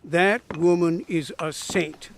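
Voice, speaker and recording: An actor playing a genial, bossy 1930s small-town barber in a podcast radio drama. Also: male voice, a little high, takes it slowly, creaky, slight background noise. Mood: storytelling. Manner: hearty, scolding.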